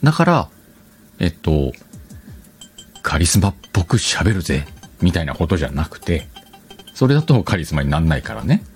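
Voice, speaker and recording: A man's voice: deep voice, big pitch swings, normal speed, long pauses, faint music behind. A man hosting a casual advice talk, explaining conversationally and questioning his own phrasing as he thinks aloud. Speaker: podcast host.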